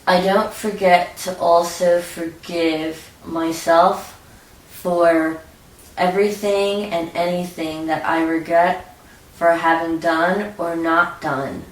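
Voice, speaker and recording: A woman reads a guided meditation at a very slow, deliberate pace. Her voice sits on the low side. She sounds set back from the microphone in a roomy, echoing space. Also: fairly even intonation. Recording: roomy echo, distant from mic.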